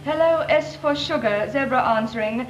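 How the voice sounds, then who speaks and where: A woman's voice: high-pitched, moderate pace, dull audio, close to an everyday voice. An actress playing a young female naval radio operator, speaking in clipped radio procedure. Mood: steady. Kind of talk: 1940s film acting. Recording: noisy, echoey 1940s film soundtrack.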